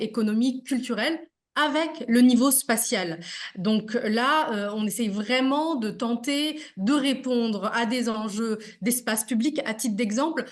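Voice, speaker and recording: A woman with a fairly high voice sums up a panel discussion over a video call. She speaks in an orderly, steady way, like an expert. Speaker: urban development expert, summarizer. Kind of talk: summarizing a panel. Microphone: video call microphone.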